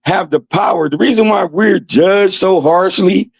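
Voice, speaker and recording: A man's voice: high voice, a little slow, vocal fry, husky, no room sound. A man of fifty, a guest in a casual chat, talking earnestly and agreeing with the host. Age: 50s.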